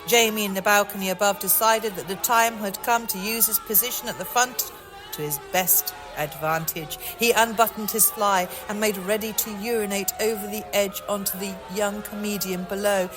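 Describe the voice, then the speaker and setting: A woman author reads a chapter of her own novel aloud. Her measured storytelling voice sits mid-pitch and keeps a steady rhythm. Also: music in the background.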